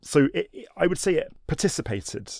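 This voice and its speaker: A man telling a history story in a conversational way, animated, with frequent restarts and fillers like "sort of" and "um". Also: a little high, brisk, vocal fry, dry recording, studio quality. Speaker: history guest.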